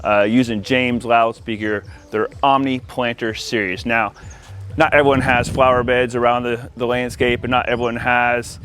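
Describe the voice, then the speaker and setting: A man's voice: mid-range voice, level tone, normal speed, fairly bright tone. A man giving an informal, conversational product showcase outdoors in a backyard, addressing viewers casually as an installer. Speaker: audio-video installer presenting for company channel. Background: music.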